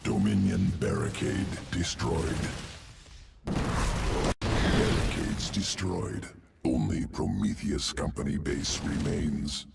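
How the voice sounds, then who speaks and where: A man's voice: very deep voice, sing-song, slow pace, over loud music, heavily acted. A man voicing a cold, clinical alien creature that engineers genes in a video game, guttural, speaking in clipped telegraphic phrases. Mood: detached, clinical. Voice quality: guttural, gravelly. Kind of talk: video game character dialogue.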